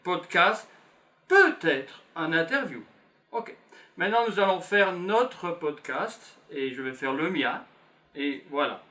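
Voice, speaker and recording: Belgian-born teacher guy in his 50s, slow careful delivery, says things over while writing, highish voice. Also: expressive, pushed and bright. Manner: slow, patient, repeats phrases while writing. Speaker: French teacher. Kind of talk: classroom lesson for beginners.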